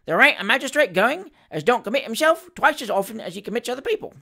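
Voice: medium pitch, very animated, fast, vocal fry, pushed and bright, voice pushed up, heavily acted. A storybook reader voicing a cheeky, glib male manservant with a Cockney accent and a familiar manner. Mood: cheeky, dry.

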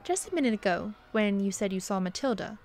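A woman's voice: mid-range voice, animated, fairly quick, darkish tone, a little hiss or hum, natural voice. Woman as hesitant, refined bishop's wife. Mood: puzzled. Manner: polite, hesitant. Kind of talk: radio-play style dramatic acting.

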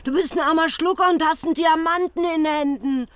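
Casting: shrewd, commanding Berlin wife, working class, blunt radio-play delivery, dry close sound. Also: female voice, very high voice, fairly even intonation, a little fast, no echo. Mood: alarmed. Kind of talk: German audio drama acting.

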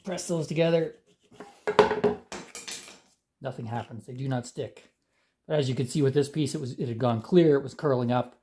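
A middle-aged man from Canada, hosting a do-it-yourself channel, explaining a hands-on repair in a relaxed, plain-spoken way while he works in a garage workshop. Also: mid-range voice, moderate pace, long pauses, a little hiss or hum. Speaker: DIY channel host. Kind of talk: hands-on how-to demonstration.

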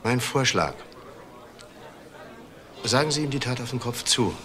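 An actor playing a middle-aged married man in a crime drama, self-justifying and articulate, speaking in deliberate phrases with weighty pauses. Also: medium pitch, lively intonation, a bit bright. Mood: urgent, persuasive. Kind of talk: German TV crime drama dialogue.

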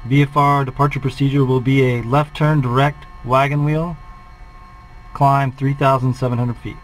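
A man's voice: mid-range voice, flat delivery, normal speed, slight background noise, poor audio. Man calling out a cockpit checklist. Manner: clipped, methodical checklist callouts. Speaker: flight instructor. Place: small aircraft cockpit.

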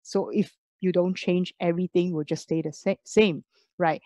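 A woman's voice: fairly low pitch, fairly even intonation, normal speed, dark tone, no echo. A woman giving an online business presentation, persuasive and full of rhetorical questions.

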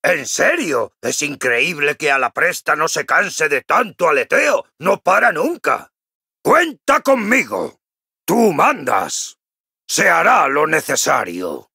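Gruff cocky dwarf hammer fighter, spain spanish, game voice, slow and forceful, big hearty bluster. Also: male voice, on the high side, big pitch swings, no room sound, studio quality, full character voice. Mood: cocky banter. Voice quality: gruff, raspy. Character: boisterous male dwarf gryphon-riding hammer warrior, cocky and jovial. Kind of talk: video game character voice lines.

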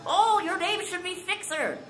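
A woman playing an excitable, easily frustrated young girl in a live storybook radio drama, with dramatic delivery. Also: very high-pitched, moderate pace, music in the background. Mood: excited, triumphant. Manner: exclamatory, dramatic. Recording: echoey live room.

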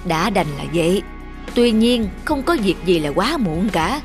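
A woman voicing a wise, stern old king cobra in an animated fairy tale, speaking in a measured, admonishing manner. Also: mid-range voice, voice pushed down. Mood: solemn. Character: long-lived king cobra, wise, stern, authoritative mentor. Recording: music under the voice.

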